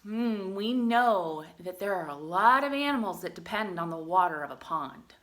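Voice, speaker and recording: A woman reading a picture book aloud to young children in an expressive way, adding chatty explanations and questions for her listeners. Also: mid-range voice, moderate pace. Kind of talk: animated read-aloud with chatty asides and questions.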